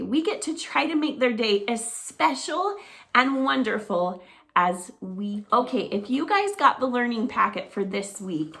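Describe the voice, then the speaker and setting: A middle-aged woman teaching preschoolers, talking to small children in an animated, sing-song teacher voice. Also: on the high side, creaky. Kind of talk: children's circle-time lesson to camera.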